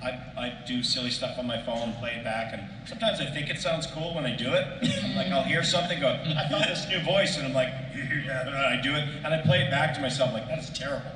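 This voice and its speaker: A man talks off the cuff as a panelist, rambling and halting as he goes, with a self-deprecating streak. His mid-pitched voice rings through an echoey hall, picked up from far away over clear noise. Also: pushed and bright. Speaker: voice actor on a convention panel. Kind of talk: casual unscripted panel advice. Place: convention panel room, PA sound.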